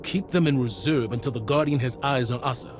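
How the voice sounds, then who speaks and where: An actor playing a resolute military commander in a video game, giving authoritative, clipped orders. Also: male voice, mid-range voice, fairly quick, lightly acted. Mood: steady, focused. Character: resolute male commander leading a fire team. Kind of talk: dramatic video game voice acting. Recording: game dialogue under loud music.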